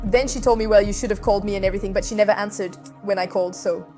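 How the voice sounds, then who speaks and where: A woman telling her own story in a casual interview, animated and conversational, with many fillers. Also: mid-range voice, very fast, soft background music. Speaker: guest.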